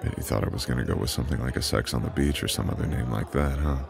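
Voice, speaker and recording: Very deep, gravelly immortal boyfriend acted by a man. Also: a crowd in the background, heavily acted. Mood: warm, teasing.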